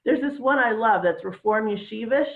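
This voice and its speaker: A woman doing a stand-up set from home, with a dry, deadpan delivery. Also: a little high, normal speed. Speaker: stand-up comedian. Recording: tinny echoey phone-like line.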